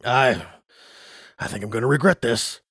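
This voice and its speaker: A man's voice: mid-range voice, moderate pace, fairly bright tone, slightly rough, no echo, studio quality. A man voicing a nervous, self-serving male griffin pilot in a pony storybook. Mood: reluctant.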